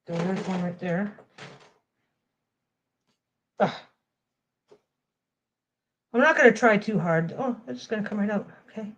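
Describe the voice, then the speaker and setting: Lady chatting away at her sewing machine, keeps restarting sentences, mid-pitched easygoing voice. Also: measured pace, pauses often. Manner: rambling, restarts phrases often. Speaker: quilting vlogger. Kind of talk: casual chat while sewing.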